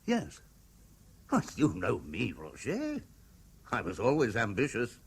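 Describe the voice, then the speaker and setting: A troubled, husky British husband. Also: male voice, mid-range voice, wildly swooping pitch, takes it slowly, heavily acted. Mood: guarded. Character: troubled, earnest middle-aged husband fearing for his young wife. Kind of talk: 1970s British radio drama acting.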